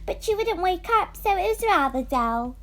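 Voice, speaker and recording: A woman's voice: very high-pitched, sing-song, voice pushed up. Young female reader as breezy, eccentric fairy godmother. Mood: airy. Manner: airy, brisk, fondly bossy. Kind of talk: storybook character voice.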